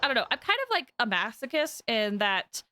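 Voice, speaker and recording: A woman's voice: fairly high pitch, big pitch swings, fairly quick, noisy background. A woman game streamer chatting casually to her live viewers. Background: game music.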